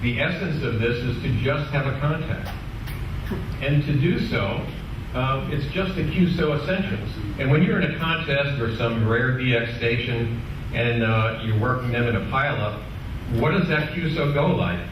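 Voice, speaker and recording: Guy doing a club tech talk, kinda halting explainer style, super echoey room, noisy recording. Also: mid-range voice, recorded from a distance, highs cut off. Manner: halting, explanatory, with rhetorical questions and asides. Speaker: presenter at an amateur radio club meeting. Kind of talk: informal technical presentation. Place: indoor club meeting room. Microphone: distant microphone.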